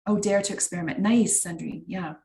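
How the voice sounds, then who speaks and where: A woman's voice: lowish voice, steady tone, fairly quick, mellow. Canadian woman, middle-aged, workshop facilitator, chatty with fillers, video-call audio. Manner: chatty, many fillers and asides to the chat. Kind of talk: online workshop teaching.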